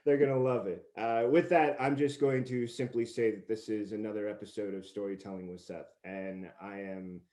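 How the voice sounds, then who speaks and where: A man's voice: lowish voice, fairly even intonation, normal speed, hardly any pauses, mellow. A man hosting a podcast, speaking in a wordy, effusive way as he introduces and chats with guests. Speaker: podcast host. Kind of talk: casual interview chat and scripted introduction. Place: remote group call recording.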